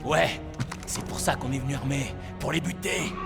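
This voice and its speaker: An actor playing a brash, wisecracking, trigger-happy soldier in a war game. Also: male voice, mid-range voice, moderate pace, vocal fry, loud music behind the voice, natural voice. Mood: wry. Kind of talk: dubbed video game cinematic acting.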